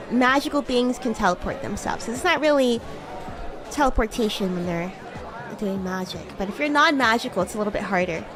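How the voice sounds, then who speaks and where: A bratty, playful demon schoolgirl played by a woman streamer chats in a high, very animated voice. She is giggly and stretches words out as she thinks. Music plays behind her. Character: bratty, playful young female demon classmate. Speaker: VTuber streamer. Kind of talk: playful in-character roleplay livestream chat.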